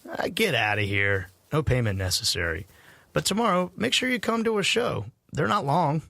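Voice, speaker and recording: A friendly older campground owner with a lightly raised pitch and very lively intonation. He is generous and welcoming in an audio drama, recorded dry and close. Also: moderate pace, gravelly, no echo, heavily acted.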